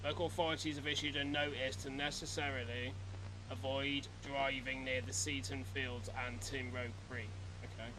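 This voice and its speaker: A man's voice: medium pitch, flat delivery, normal speed, noisy background. A man giving a casual live-stream reaction, reading things aloud and commenting as he goes. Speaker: streamer reacting to horror videos.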